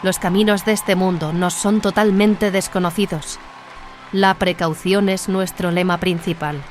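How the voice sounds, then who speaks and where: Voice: mid-range voice, steady tone, unhurried, faint music behind, voice pushed up, natural voice. A performer voicing a cautious, diplomatic warrior nun commander, formal and authoritative, in an audio drama. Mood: cautioning.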